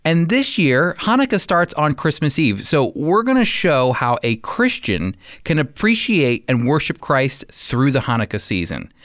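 A man's voice: fairly high pitch, big pitch swings, a little slow, hardly any pauses, a bit bright, no room sound, studio quality. An American man presenting scripted radio commentary and Bible teaching as a co-host. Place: dry studio.

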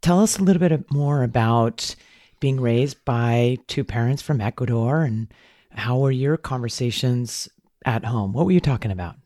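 A podcast host asks the guest friendly questions, sometimes halting mid-sentence. The voice is mellow and the recording is dry, with no room sound. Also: medium pitch, dry recording. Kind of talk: interview questions.

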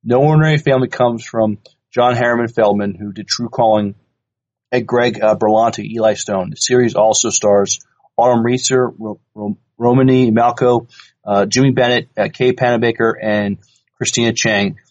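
A man co-hosting a podcast, casually reading news items aloud in a halting, stumbling way. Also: mid-range voice, fairly even intonation, a little mellow, lo-fi sound. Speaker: podcast co-host.

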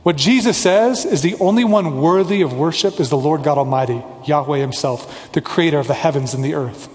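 A pastor delivering a sermon, earnest and conversational, now and then slipping in a playful aside. His voice sits a little high for a man, and a long reverb trails each phrase through the church hall. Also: brisk.

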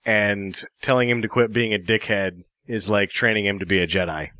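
A man's voice: fairly low pitch, fairly even intonation, normal speed, pushed and bright, dry recording, rough recording. A man co-hosting a casual movie podcast, laid-back and joking, often laughing at his own lines. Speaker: podcast co-host. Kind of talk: casual movie-review chat.

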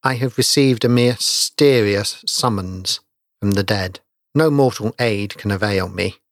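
A man voicing a doomed, pale stranger in a ghost story, speaking low, hushed and hollow. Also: unhurried, no room sound, studio quality. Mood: grave, hushed.

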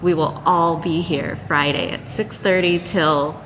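A woman's voice: low-pitched, steady tone, unhurried. A woman hosting a podcast episode, chatting casually and loosely with guests, often laughing. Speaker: podcast host. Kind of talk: casual interview chat. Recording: reverberant room with loud ambient noise.

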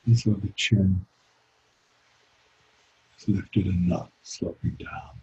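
Guy teaching meditation, deep soft-toned voice, calm and gentle w long pauses, recording a bit muffled. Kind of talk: guided meditation.

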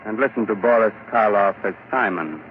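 Male horror radio host, sinister, theatrical, lowish voice, tinny old broadcast, clear hiss. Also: a little fast. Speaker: show host and narrator. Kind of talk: 1940s radio horror narration.

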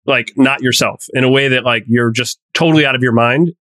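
A man's voice: mid-range voice, a little fast, fairly bright tone, no echo. A man guesting on a casual panel podcast as a startup founder, blunt and emphatic, swearing freely.

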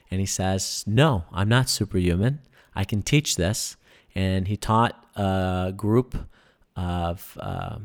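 A man's voice: sits low, darkish tone. A man, a guest on a podcast, explaining with enthusiasm in casual chat and many fillers. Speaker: podcast guest, breathing workshop instructor.

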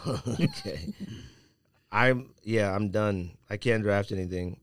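A man's voice: fairly low pitch, slow pace, no room sound. A man in casual sneaker podcast banter, talking to himself and complaining in slangy speech. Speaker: podcast host. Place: podcast studio.

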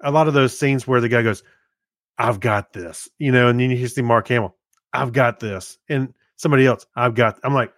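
A man's voice: mid-range voice, normal speed, no echo. Man chatting, dry close sound. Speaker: podcast co-host. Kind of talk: casual chat about movies.

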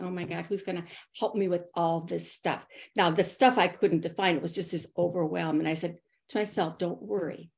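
A middle-aged woman who is a guest on a podcast. She tells personal stories in an animated way and acts out her own quoted thoughts. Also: lowish voice, gravelly. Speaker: podcast guest, consultant and author. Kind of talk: personal storytelling in an interview.

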